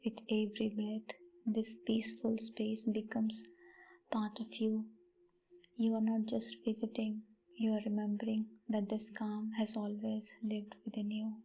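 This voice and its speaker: A woman leading a guided meditation in a soft, breathy, near-whispered voice, with gentle phrasing and long pauses. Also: mid-range voice, monotone, lo-fi sound. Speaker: meditation guide, channel host.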